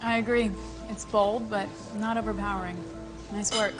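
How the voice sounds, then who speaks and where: An actress playing a food judge who speaks in a playful, teasing way. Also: female voice, mid-range voice, fairly even intonation, normal speed, close to an everyday voice, low-quality recording. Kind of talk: film dialogue. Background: background music.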